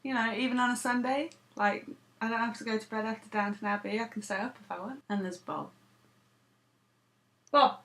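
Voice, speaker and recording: A woman's voice: a little high, moderate pace, pauses often, a bit bright, rough recording. A woman, vlogging to camera in a dry, self-deprecating, sarcastic way. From United Kingdom. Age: 30s. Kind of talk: casual talking to camera.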